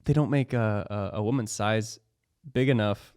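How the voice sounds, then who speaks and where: A young man hosting a casual podcast with a lowish voice, recorded close and dry with no room sound. He talks loosely and chattily. He laughs often and acts out what other people said. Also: moderate pace, darkish tone, clean tone, no echo. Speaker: podcast host. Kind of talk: casual chat. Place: studio.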